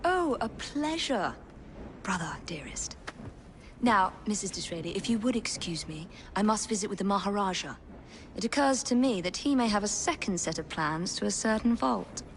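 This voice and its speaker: An actress playing a composed, sharp-tongued young English woman with a precise, formal manner in a video game cutscene. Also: medium pitch, animated, moderate pace, slight background noise, lightly acted. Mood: polite, arch. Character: prim, composed, sharp-tongued young female spy, disapproving sister.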